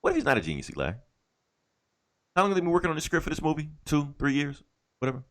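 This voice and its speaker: Male podcast co-host, rapid-fire and highly expressive, opinionated, foul-mouthed, casual comic-fan chat. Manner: profane, emphatic, opinionated.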